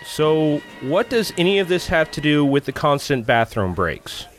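Guy as nerdy trivia buff, animated delivery, comedy audio drama, faint music under it. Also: fairly high pitch, normal speed. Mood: geeky. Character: geeky, knowledgeable male theme-park trivia buff.

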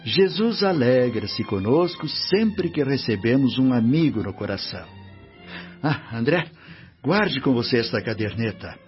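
An actor with a Brazilian accent playing a benevolent, authoritative spirit minister in a radio drama, speaking in a formal, instructive, measured manner. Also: male voice, medium pitch, big pitch swings. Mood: paternal, solemn. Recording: dull radio recording, faint music.

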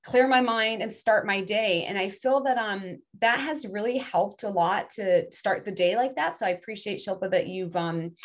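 A woman whose first language is French chats earnestly in English on a podcast, circling back and restarting her sentences as she thinks aloud. Her voice sits at an ordinary, middle pitch. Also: moderate pace, hardly any pauses. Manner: earnest, rambling, many restarts. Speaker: podcast guest, language instructor. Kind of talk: casual interview chat.

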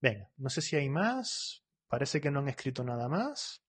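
A man teaching Spanish in a live online class, reading out and correcting viewers' comments. He talks quickly and keeps checking in with "¿vale?" and "¿eh?". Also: mid-range voice, big pitch swings, breathy edge.

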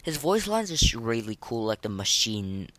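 A podcast host chatting casually and rating things, rambling and halting, often repeating words and phrases. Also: medium pitch, sing-song, a bit bright, slight background noise. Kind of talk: casual chat rating game voice lines.

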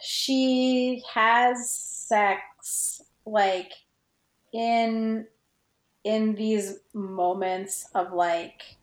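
Echoey, halting woman chatting casually. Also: a little high, steady tone. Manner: halting, informal, heavy use of "like". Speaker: podcast guest, fan fiction writer. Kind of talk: casual chat about fan fiction. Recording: roomy, echoey sound.

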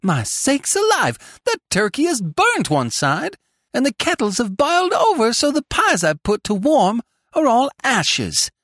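An excitable, bossy teenage farm girl from a storybook, played by a man, bustling along in homespun country talk. The voice is lifted high and swoops with lively melody. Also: measured pace, no room sound, studio quality, exaggerated voice. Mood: scolding, flustered. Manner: rustic old-fashioned country talk. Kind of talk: storybook character voice.

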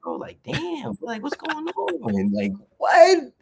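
A man's voice: extremely high pitch, huge pitch swings, takes it slowly, gravelly, a little mellow, slight background noise. A man in his twenties with a New York accent, a wrestler guest chatting in slangy, hyped street talk. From the Bronx, New York. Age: 20s. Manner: slangy and hyped, calls everyone bro. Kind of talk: casual chat.

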